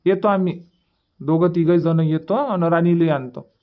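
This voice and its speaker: A man's voice: a little high, moderate pace, dark tone, lightly acted. A man voicing an easygoing young village son in a cartoon comedy, chatty and colloquial, with a rural Vidarbha Marathi accent. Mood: reassuring, cheerful.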